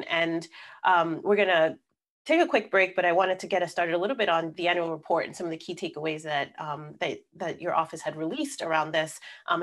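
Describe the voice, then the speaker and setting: 30s woman TV host, quick delivery, lower register, lapel-mic sound. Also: fairly bright tone. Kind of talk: TV interview hosting. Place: room with plants, video call.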